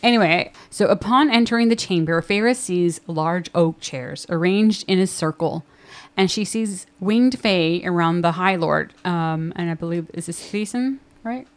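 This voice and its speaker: A woman recaps book chapters on a podcast, chatty and quick to giggle. Her voice sits on the low side, deeper than most women's. She tends to trail off mid-thought before picking the story back up. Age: 30s. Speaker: podcast co-host. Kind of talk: casual book-club chat, recapping chapters.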